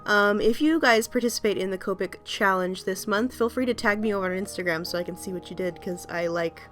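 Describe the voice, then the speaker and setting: A woman's voice: mid-range voice, a little fast, fairly bright tone, soft background music. A woman hosting her art video, talking in a casual, rambling voice-over with many ums and small laughs, her voice still slightly scratchy from a cold.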